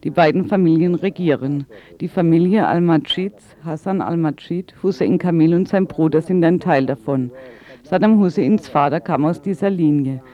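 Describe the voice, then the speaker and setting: A man's voice: fairly high pitch, moderate pace, highs cut off. A man working as an interpreter, giving a voice-over translation of an interview.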